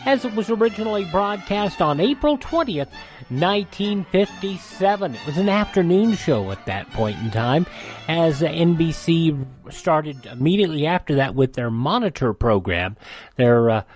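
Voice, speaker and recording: A man's voice: a little high, sing-song, moderate pace, faint music behind. An American man hosting a radio show in a conversational style.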